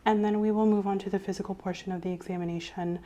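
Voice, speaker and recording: A soft-spoken woman playing a doctor in an ASMR exam roleplay, methodically asking questions in a reassuring way that often trails off quietly. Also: medium pitch, steady tone, fast talker. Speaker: ASMR creator playing a doctor taking a medical history. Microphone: clip-on mic.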